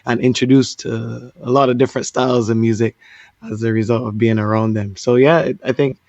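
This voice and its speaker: A man from Barbados, a DJ guest in a casual interview, relaxed and quick to laugh. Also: mid-range voice, no room sound, dull audio. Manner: relaxed, laughs easily, loose fillers.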